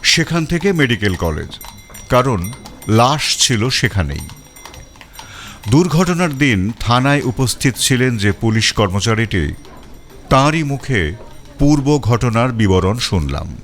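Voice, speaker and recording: An actor playing a composed police detective, narrating his case in measured, expressive audio-story style. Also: mid-range voice, a little hiss or hum. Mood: composed, inquisitive. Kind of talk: scripted first-person detective story narration.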